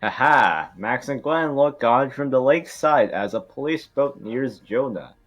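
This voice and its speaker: A man's voice: medium pitch, animated, unhurried, fairly bright tone, soft background music. A man reading stage directions at an amateur table read in a casual, irreverent, joking way with swearing ad-libs. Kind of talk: casual, joking script narration.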